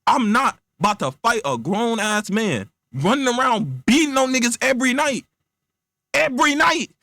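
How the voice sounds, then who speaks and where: Man as fed-up young male friend in a comic tale: blunt, profane, slangy, self-preserving, slightly high voice. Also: lively intonation, a bit bright, no echo, studio quality. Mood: exasperated, loud. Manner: blunt, slangy, profane, rapid rhetorical questions.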